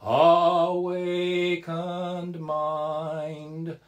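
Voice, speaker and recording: A mid-pitched voice recites devotional verses very slowly and solemnly. The phrasing is measured and often drifts into sing-song chant, like someone leading a Buddhist service, with music present. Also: flat delivery.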